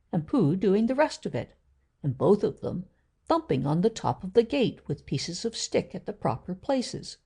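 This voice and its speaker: A woman's voice: medium pitch, creaky, mellow, breathy edge. A woman narrating a children's story from a script as a storyteller.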